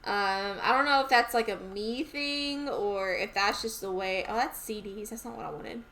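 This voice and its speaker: A woman's voice: mid-range voice, normal speed, fairly bright tone, poor audio. A woman with a Southern US accent, chatting casually to herself as she streams a game. She rambles and is easily amused.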